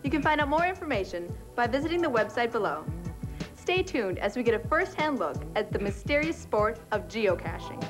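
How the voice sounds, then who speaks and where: A woman's voice: a little high, very animated, a little fast, long pauses. A teenage American woman presenting and narrating a youth TV show from a script. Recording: music bed behind voice.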